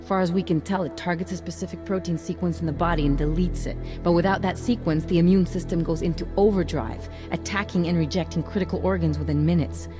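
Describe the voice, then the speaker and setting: A low-pitched female hacker from a video game, played by an actress. She is blunt, slangy and streetwise, with a concerned edge. Music is clear behind her. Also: steady tone, quick pace, few pauses, close to an everyday voice. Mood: blunt, amazed. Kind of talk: video game cutscene acting. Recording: music under the voice.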